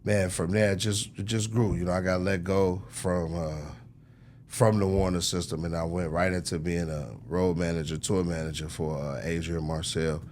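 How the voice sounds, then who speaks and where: A middle-aged American man telling his story casually as an interview guest, in slangy conversational speech with frequent throat clearing. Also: deep voice, little pitch movement, normal speed, a little mellow, a little hiss or hum. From United States, Chicago. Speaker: interview guest, record executive.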